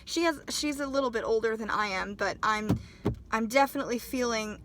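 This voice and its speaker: A woman's voice: on the high side, brisk, background noise. A woman in her twenties chatting casually in a vlog, her voice still off from a sinus infection, friendly and self-deprecating. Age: 20s. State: recovering from a sinus infection, voice not back to normal. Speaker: cosplay vlogger.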